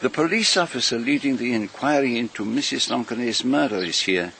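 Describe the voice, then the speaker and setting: An actor playing a shrewd, vain and courteous foreign detective in a radio mystery, speaking formally and deliberately with pointed questions. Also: male voice, mid-range voice, expressive, vocal fry, a bit bright, slight background noise. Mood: gallant, wry. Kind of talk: British radio mystery drama acting.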